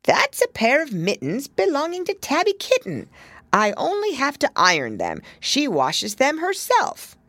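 A woman's voice: high-pitched, wildly swooping pitch, unhurried, a bit bright, no room sound, pitched above the performer's own voice, exaggerated voice. Woman doing a fussy hedgehog washerwoman. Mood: fussy, polite. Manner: deferential, repeats polite phrases. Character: stout anxious hedgehog washerwoman, humble, fussy.

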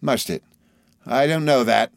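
A man's voice: medium pitch, unhurried, gravelly, no room sound, clean studio sound, voice pushed up. A man voicing a cowardly, spiteful male clerk in an audiobook, muttering and growling his lines. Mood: defiant. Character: sullen, cowardly, spiteful male villain clerk, snarling at accusers. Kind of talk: audiobook character voice.

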